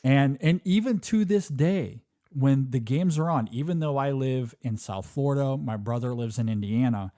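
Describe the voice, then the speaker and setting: A man's voice: mid-range voice, normal speed, few pauses, dark tone, dry recording, clean studio sound. A man from Michigan hosting a podcast in casual chat, telling a personal story earnestly, often repeating words as he goes. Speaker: podcast host.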